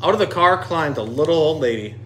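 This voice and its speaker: A man reading a children's picture book aloud in an animated, expressive storytelling style. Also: a little high, fairly quick, a little hiss or hum. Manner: expressive, dramatic dialogue readings.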